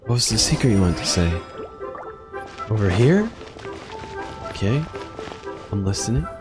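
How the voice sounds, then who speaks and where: A man's voice: on the low side, sing-song, measured pace. A man giving casual live commentary on a game, with playful, sarcastic asides. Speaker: streamer reacting to a game. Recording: game music behind the voice. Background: game music.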